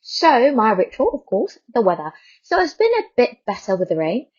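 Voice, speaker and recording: A woman's voice: a little high, wildly swooping pitch, moderate pace, darkish tone, husky, muffled recording. A woman from Thailand hosting a radio programme, chatting casually and informally in a rambling way, repeating words for emphasis. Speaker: radio host. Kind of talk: casual radio chat and news update.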